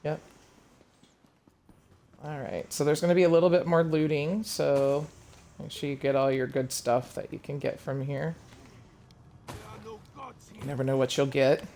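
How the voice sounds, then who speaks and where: Calm gravelly man leading a game raid. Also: fairly high pitch, measured pace, pauses often, slight background noise. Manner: calm, practical, explains tactics step by step. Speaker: raid leader. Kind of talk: instructing players during a game stream.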